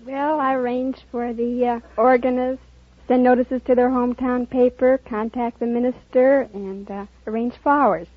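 A woman's voice: fairly high pitch, fairly even intonation, moderate pace, no echo. An American woman in her twenties giving plain, brief answers as a contestant on a vintage radio show. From Las Vegas, Nevada, United States. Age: 20s. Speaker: quiz show contestant, wedding director. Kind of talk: short interview answers. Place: radio studio before an audience. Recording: muffled vintage radio, faint audience.

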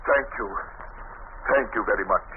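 An actor playing an earnest, authoritative church rector in an old radio drama, speaking formally on a narrow, hissy recording. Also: male voice, on the low side, normal speed, lightly acted. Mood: grave, earnest.

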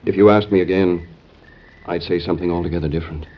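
An actor playing a gallant, teasing young gentleman suitor in classic American radio drama. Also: sits low, fairly even intonation, fast, lightly acted. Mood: tender, teasing. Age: young adult. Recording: muffled old radio recording, faint noise.

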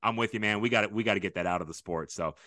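A man hosting a podcast in a casual interview chat, with a big booming voice and a loud, enthusiastic way of talking. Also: rapid-fire, no echo, studio quality. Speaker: podcast host.

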